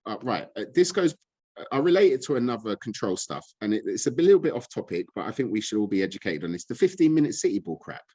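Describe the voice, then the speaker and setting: A man's voice: fairly low pitch, sing-song, quick pace, few pauses, mellow, breathy edge, no echo. A man, speaking as a guest, ranting in a frustrated, blunt, impassioned way. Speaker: guest speaker on money and gold. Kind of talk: impassioned casual talk.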